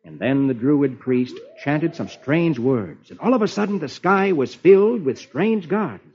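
A man's voice: on the high side, expressive, a little slow, darkish tone, slightly rough. A man playing a genial reader of comic strips to children, with theatrical 1940s radio storytelling delivery. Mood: genial, dramatic. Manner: theatrical, dramatic. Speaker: host reading the comics. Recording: dull old radio recording with music.

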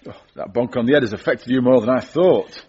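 A man's voice: mid-range voice, fairly quick, darkish tone, slightly rough. A man playing a hapless, fretful British everyman in a comic audio drama, protesting in colloquial speech. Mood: bewildered, indignant. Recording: dull, slightly muffled sound.

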